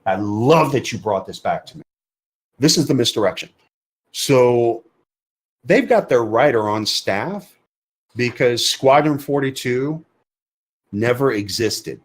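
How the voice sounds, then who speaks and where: A man's voice: mid-range voice, lively intonation, takes it slowly, long pauses. Blunt, emphatic podcast co-host man. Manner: emphatic, repetitive, blunt opinions. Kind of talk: casual podcast chat.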